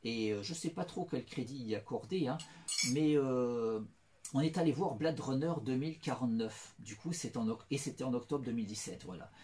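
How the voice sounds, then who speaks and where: A man's voice: mid-range voice, normal speed, warm tone, a little hiss or hum. A middle-aged man talking through a meandering personal monologue, full of restarts, fillers and repeated phrases. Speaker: self-styled philosopher.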